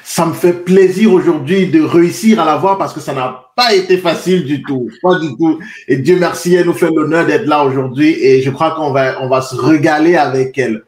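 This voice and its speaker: A man hosting a podcast interview, speaking with earnest, formal warmth and many repetitions as he introduces and questions his guest. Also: fairly high pitch, normal speed, few pauses. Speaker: podcast host. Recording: echoey room.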